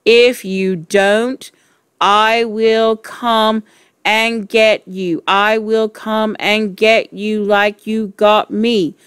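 A woman reader puts on a commanding ghost from a storybook. The words come very slowly and deliberately, heavy with menace, in a close, dry sound. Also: medium pitch, flat delivery, clear, resonant tone, dry recording. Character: ghostly invisible writer, slow, menacing, commanding.

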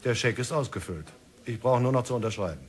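Smooth pushy negotiator, acted by a man, jovial coaxing tone, radio play. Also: medium pitch, animated, fast, close to an everyday voice. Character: smooth persuasive company negotiator, middle-aged man.

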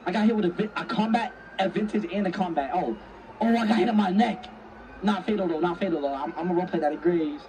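A man playing a roleplay game on stream, excitable, slangy and profane, acting in character. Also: high-pitched, quick pace, recorded from a distance, a little hiss or hum.

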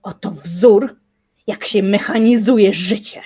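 An actress playing a determined, defiant young woman in an audio drama, arguing in measured, formal language. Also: mid-range voice, warm tone, breathy edge. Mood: defiant, argumentative. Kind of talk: audio drama acting, literary dialogue.

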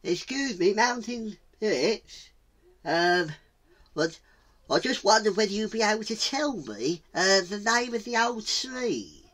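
A storyteller voicing a slow, timid, polite tortoise, hesitant and drawn-out, for a children's tale. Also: mid-range voice, lively intonation, fairly bright tone, voice pushed up.

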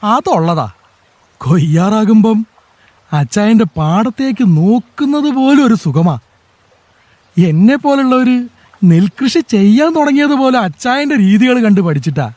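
A man's voice: high voice, big pitch swings, a little slow, a little mellow, no echo, full character voice. A man playing an admiring village farmer and friend in a radio drama, talking eagerly with a Kerala Malayalam accent.

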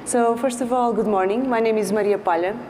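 A woman in her thirties from Portugal with a Portuguese accent, giving an earnest conference talk as a psychologist, pausing often with fillers. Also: a little high, fairly even intonation, dark tone. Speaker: clinical psychologist speaker. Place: large dark stage. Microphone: lapel mic. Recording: large hall with faint noise.

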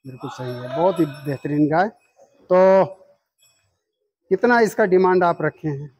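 A man's voice: on the high side, measured pace, darkish tone. A man hosting a farm video, asking about the cows and agreeing often with short replies. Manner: agreeable, frequent short affirmations. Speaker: agriculture channel host. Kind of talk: on-farm interview.

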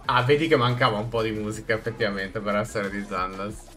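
A man giving casual reaction commentary, halting and repeating words for emphasis. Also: fairly low pitch, a bit bright, faint music behind. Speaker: streamer reacting to a game trailer. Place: small room indoors.

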